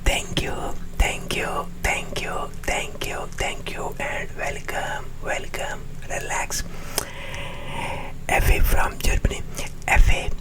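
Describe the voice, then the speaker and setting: Very slow, soft ASMR whisper. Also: rough recording. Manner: slow, soft whisper repeating names over and over; gentle, affectionate sign-offs. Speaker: ASMR creator.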